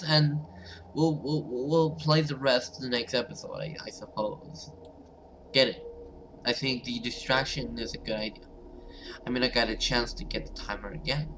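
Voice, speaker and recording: Male let's-player, average pitch, informal and halting, reads game text and comments on it, noisy home recording. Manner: informal, hesitant, alternates reading narration with reactions. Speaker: gaming video host.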